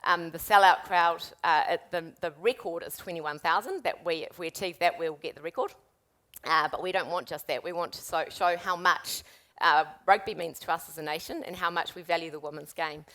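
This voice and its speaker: A woman in her forties with a New Zealand accent, speaking on a panel as a sports event executive, brisk and upbeat. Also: lowish voice, fairly bright tone. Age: 40s. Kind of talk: panel discussion.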